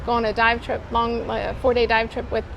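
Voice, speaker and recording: A woman's voice: on the high side, monotone, fairly quick, vocal fry, fairly bright tone. A woman who is a superyacht captain, chatting earnestly and enthusiastically in an interview outdoors at a boat show, often repeating words for emphasis. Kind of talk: casual interview chat. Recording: noisy outdoor recording.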